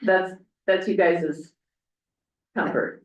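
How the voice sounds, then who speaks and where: A woman's voice: on the low side, steady tone, takes it slowly. A woman speaking as a city clerk, explaining procedure at a public meeting in a council room. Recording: dull, echoey meeting room audio.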